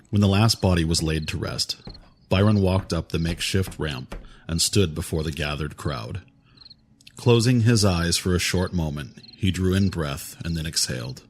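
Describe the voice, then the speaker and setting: A man narrating an audio drama in a measured, even storytelling manner. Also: low-pitched, a little hiss or hum. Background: music score.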